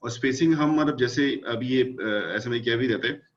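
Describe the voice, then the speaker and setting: A man's voice: mid-range voice, moderate pace, lo-fi sound. A man explaining animation with everyday examples, full of casual fillers. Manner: talkative, fillers like "matlab" and "achha yaar".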